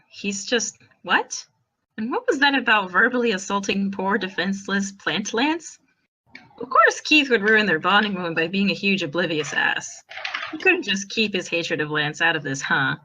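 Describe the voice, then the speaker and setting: Bright-voiced woman narrating fan fiction. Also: medium pitch, lively intonation, moderate pace, poor audio.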